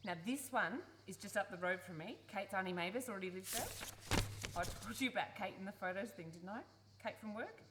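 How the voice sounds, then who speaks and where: A woman playing a pushy, organising adult daughter in a live radio play, brisk and persuasive, easily exasperated. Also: mid-range voice, lightly acted. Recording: noisy live theatre recording.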